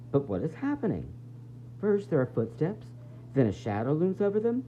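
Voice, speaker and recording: A man reading a picture book aloud as a preschool teacher, expressive and explaining things directly to young children. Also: fairly high pitch. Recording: tinny phone-like audio with faint noise.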